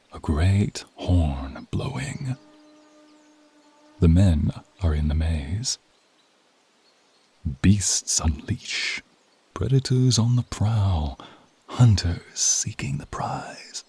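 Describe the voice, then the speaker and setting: A man delivers slow, dramatic ASMR storytelling in a low, very dark and mellow voice. His hushed narration often sinks into a whisper. Noise and ambience sit faintly but clearly behind him. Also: animated. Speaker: narrator of an audio roleplay.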